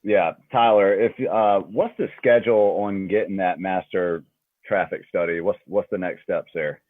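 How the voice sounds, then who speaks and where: A man's voice: deep voice, steady tone, normal speed, no room sound. A man asking informal questions as a board member at a public meeting. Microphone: phone or conference call. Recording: dry, tinny phone-like line.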